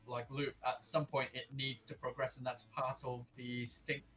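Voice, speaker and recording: A man's voice: medium pitch, little pitch movement, normal speed, sharp tone, breathy edge, faint music behind. A man in a casual voice chat, hesitant, stammering and restarting his words as he explains an idea.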